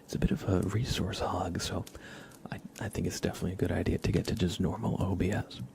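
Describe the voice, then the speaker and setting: A man's voice: low voice, little pitch movement, fast, gravelly. Whispering man hosting a casual ASMR livestream. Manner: hushed, gentle whispering; casual, friendly, thanking viewers. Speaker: livestream host. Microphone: close microphone with compression and limiter.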